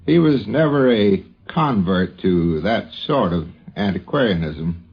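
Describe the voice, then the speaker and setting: An older man reminiscing as an interviewee in a deliberate, literary way. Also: low-pitched, slightly rough. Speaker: interviewee recalling an old friend. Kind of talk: measured spoken reminiscence. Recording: dull 1950s radio recording.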